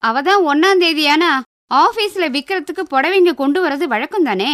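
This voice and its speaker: Meek young wife, very high, swooping voice, flustered and defensive, radio drama. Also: female voice, animated, moderate pace, a bit bright. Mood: chatty.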